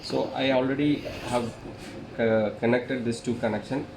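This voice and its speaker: A man who is a non-native English speaker gives a hands-on technical demonstration, explaining step by step in a halting, repetitive way. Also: medium pitch, fairly even intonation, crowd noise. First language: not English. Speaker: tutorial presenter, installer. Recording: echoey room, clearly noisy.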